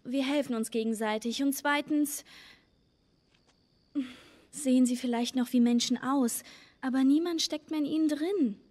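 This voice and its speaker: A teenage girl surviving in a video game drama. Her voice is high and she speaks very slowly, with gentle, hesitant pauses. She is plain-spoken and caring. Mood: reassuring, candid. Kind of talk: German video game dubbing, naturalistic dialogue.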